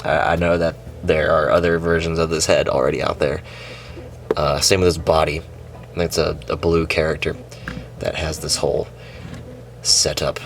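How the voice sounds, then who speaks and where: A young man with a nasal, congested voice, chatting casually and excitedly as he unboxes and reviews a toy. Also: very deep voice, moderate pace, gravelly, pauses often, a little hiss or hum. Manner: chatty, excited, rambling with fillers. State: sick and congested. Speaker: toy reviewer, channel host.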